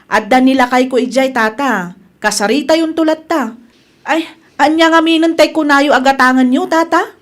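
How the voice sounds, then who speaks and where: A woman's voice: high-pitched, moderate pace, natural voice. A woman with a Filipino Ilocano accent playing a kind, generous storekeeper wife in a radio comedy-drama.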